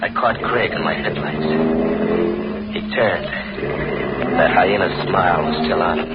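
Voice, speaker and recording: An actor playing a bitter, vengeful killer in 1940s radio crime style, hard-boiled and taunting. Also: male voice, deep voice, measured pace, over loud music. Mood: grim narration. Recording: noisy, muffled 1940s radio recording.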